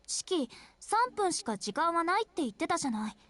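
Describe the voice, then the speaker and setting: A woman voicing a playful, curious young female vampire princess in a visual novel game, with a teasing, quick manner. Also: very high-pitched, very animated, a bit bright, heavily acted. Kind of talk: Japanese game voice acting. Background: game music.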